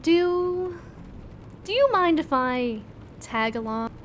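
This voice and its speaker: A doctor in an audio drama, high-pitched and hesitant. She pleads with guarded hope. A faint rumble runs behind her. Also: lively intonation, takes it slowly, exaggerated voice. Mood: hesitant, pleading. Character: hesitant, hopeful woman doctor with a secret.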